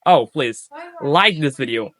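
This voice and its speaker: A man's voice: medium pitch, expressive, measured pace, a bit bright, no echo. A young man from Bangladesh with a Bangladeshi accent, a Bengali native speaker, giving English speaking tips in a casual vlog, earnest and repetitive, often correcting himself mid-sentence. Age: young adult. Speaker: English-speaking coach, channel host.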